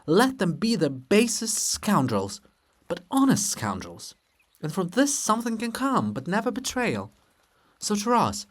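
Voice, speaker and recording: Seeking a male reader for a bombastic monument of a bearded historian in a stage farce: scornful, emphatic, very animated pitch.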